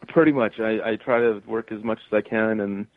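A man speaks over a narrow, tinny phone line with no room sound. His voice sits fairly low, and he tells stories in an easy, conversational style as an interview guest. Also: steady tone, fairly quick, dry recording. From Canada. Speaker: interview guest, stage entertainer. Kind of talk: casual conversational storytelling.

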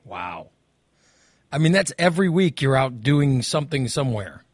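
A man hosting a casual radio interview, asking questions haltingly and often repeating or rephrasing them as he goes. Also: mid-range voice, big pitch swings, dry recording, clean studio sound.

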